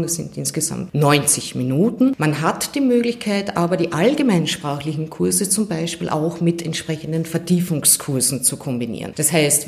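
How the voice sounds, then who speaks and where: A woman's voice: sits low, darkish tone. A woman, head of a language center, explaining courses formally in an interview. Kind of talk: interview statement.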